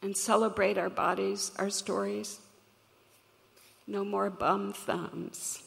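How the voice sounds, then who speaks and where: A woman with a crackly voice, telling a personal story to an audience in an earnest, reflective, measured way. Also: medium pitch, little pitch movement, creaky, fairly bright tone. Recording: long hall reverb.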